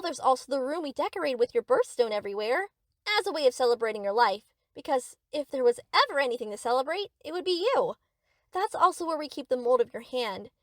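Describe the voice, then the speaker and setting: A high-voiced, swooping young yandere acted out by a woman speaks straight to the listener in an audio roleplay. She is doting and boastful, cheerfully obsessed and possessive, with a close, dry sound. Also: no echo. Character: gushing, possessive yandere best friend, young woman painter. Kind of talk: scripted ASMR roleplay, addressing the listener.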